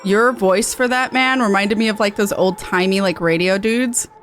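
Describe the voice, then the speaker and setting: A woman's voice: medium pitch, normal speed, fairly bright tone, soft background music. A woman game streamer chatting casually, with a playful, blunt, sweary way of talking. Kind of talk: casual playthrough chat.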